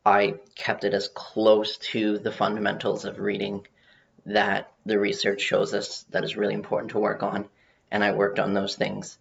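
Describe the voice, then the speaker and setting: Guy presenting research informally, halting, super creaky flat voice, dull muffled lo-fi sound. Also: fairly low pitch. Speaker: presenter on learning to read.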